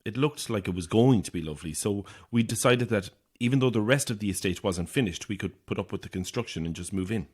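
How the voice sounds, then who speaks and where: A man's voice: fairly low pitch, fast talker, hardly any pauses, a little mellow, slightly rough. An actor playing a lonely, weary Irish man in his thirties in a naturalistic audio horror drama, polite, hesitant and dry in manner. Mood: subdued, explanatory. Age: 30s.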